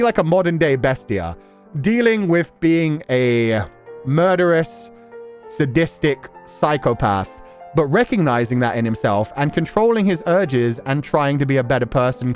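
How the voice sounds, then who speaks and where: A man talking casually and emphatically as a streamer, rambling through commentary with slangy asides. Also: mid-range voice. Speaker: streamer reacting to a story game. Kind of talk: casual rambling commentary, reading and answering chat.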